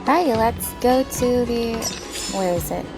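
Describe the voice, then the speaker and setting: A woman's voice: on the high side, takes it slowly, over music. A woman livestreaming a game with casual, playful and teasing reaction commentary.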